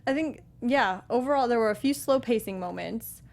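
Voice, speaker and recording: A woman's voice: on the high side, normal speed, creaky. A woman co-hosting a casual panel discussion, speaking in an agreeable, supportive way. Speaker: co-host of a film review show.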